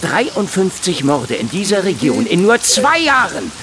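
An actor playing a sardonic, weary and menacing male private detective in a noir radio drama, with drawling dark wit. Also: high voice, very animated, measured pace, gravelly, exaggerated voice. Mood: menacing, aggressive. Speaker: lead actor and narrator in radio play. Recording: music under the voice.

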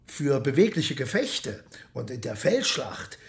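A man's voice: medium pitch, very animated, unhurried, creaky. A man playing a matter-of-fact expert in a radio drama, explaining precisely and instructively like a documentary narrator. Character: matter-of-fact male expert on medieval weaponry.

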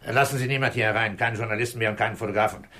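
An actor playing a calm, commanding police inspector with curt, unhurried authority in a 1960s German radio crime drama. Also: male voice, fairly low pitch. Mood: firm, terse. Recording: hissy old radio play recording.

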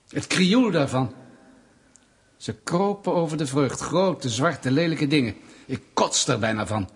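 A husky, fairly high-voiced male secret police interrogator in a radio drama. He is mock-polite and menacing, drawing out his words with pointed pauses and insinuation. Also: full character voice. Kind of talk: radio play acting.

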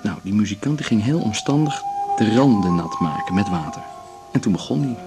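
Musician husband, radio drama. Deep-ish, easygoing, reflective, slightly halting; clear music in the background. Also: male voice, lively intonation. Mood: enthralled. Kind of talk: 1980s Dutch radio drama acting.

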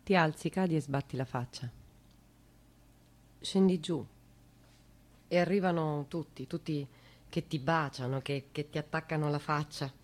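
An actress playing a grief-stricken woman in a radio theatre monologue, speaking in fragmented, repetitive phrases that move between hushed and insistent. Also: sits low, unhurried, pauses often. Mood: dazed, subdued. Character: grief-stricken woman reliving days after a loss.

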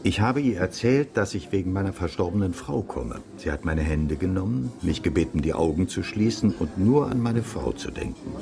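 An actor playing a dry, composed male investigator in a radio crime drama, with a deliberate, understated delivery. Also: deep voice, expressive, gravelly. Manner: deliberate, understated, slightly old-fashioned wording. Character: dry, composed widowed male investigator reporting his findings.

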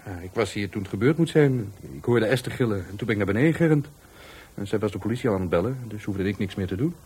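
An actor with a Netherlands Dutch accent playing a touchy, defiant law-school dropout in a radio play, by turns hesitant and scornful. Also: male voice, fairly low pitch, animated, fast, a little mellow, slightly rough. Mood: hesitant, explaining. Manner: hesitant, then scornful and argumentative. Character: defiant, touchy young male law-school dropout, murder victim's helper.

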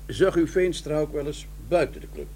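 A prim, probing detective. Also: male voice, fairly high pitch, wildly swooping pitch, moderate pace, darkish tone, slight background noise. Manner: hesitant questioning with fillers. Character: prim, measured male police detective. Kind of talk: 1990s radio drama.

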